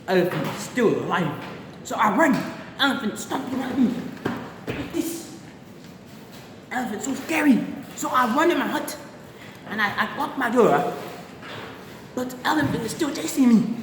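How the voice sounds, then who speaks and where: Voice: mid-range voice, moderate pace, pauses often, natural voice. A performer playing a boastful primitive hunter who tells a comic story in broken, simple grammar, repeating words and grumbling. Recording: echoey room with steady background noise.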